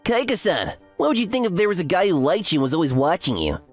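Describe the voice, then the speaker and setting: Woman as young man, smug, mocking, condescending, low-pitched, very animated delivery, anime story dub. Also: quick pace, soft background music. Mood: gloating. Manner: sneering, condescending.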